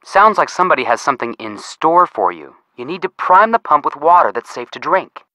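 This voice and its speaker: A man's voice: fairly high pitch, sing-song, brisk, sharp tone, no room sound. A young man with an American accent playing a cheeky, casual amateur detective who jokes as he gives video game hints. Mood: cheeky, joking. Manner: joking, casual, slangy. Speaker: detective helper giving hints. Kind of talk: scripted video game voice acting.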